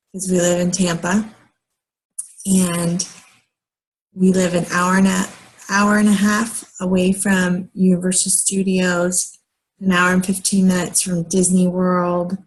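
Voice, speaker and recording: An American woman in her late thirties talking casually and haltingly in a personal vlog, often pausing mid-sentence and rambling along. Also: on the low side, poor audio. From United States, moved often as a military child. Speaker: vlogger.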